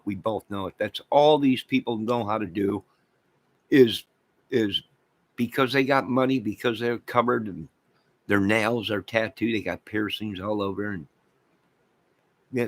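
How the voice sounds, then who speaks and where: A man's voice: fairly low pitch, moderate pace. An American man giving a rambling, off-the-cuff rant as an online channel host, speaking in an exasperated, repetitive and emphatic manner.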